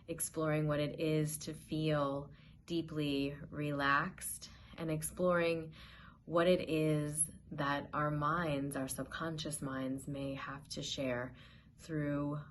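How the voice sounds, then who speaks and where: A woman hosting a guided meditation, giving gentle, soothing, unhurried instructions as she introduces the session. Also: low-pitched, level tone, a little hiss or hum.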